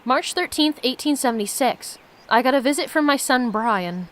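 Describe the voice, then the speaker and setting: Woman reading as solemn diary writer, fairly high voice. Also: expressive, normal speed, fairly bright tone. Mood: regretful. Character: solemn diary writer recounting strange mystical visions. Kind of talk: reading story text aloud.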